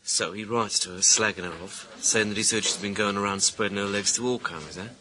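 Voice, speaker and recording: An actor playing a cynical, grumbling junior British police detective in a radio thriller, talking bluntly and colloquially. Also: male voice, lowish voice, brisk, bright, forceful tone, low-quality recording. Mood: cynical, sceptical. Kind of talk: naturalistic radio thriller acting.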